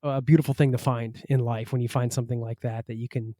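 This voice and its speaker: A man's voice: medium pitch, flat delivery, fast talker, dark tone, no room sound, studio quality. A man hosting a podcast interview, chatty and self-deprecating, sharing personal asides between questions. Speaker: podcast host. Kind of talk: conversational interview.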